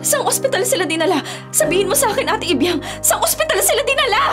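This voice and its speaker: A woman's voice: very high-pitched, sing-song, quick pace. A woman acting a distraught, guilt-stricken young mother in a Filipino radio drama, crying and pleading. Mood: tearful pleading. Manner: pleading, stammering. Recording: loud music under the voice. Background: loud music.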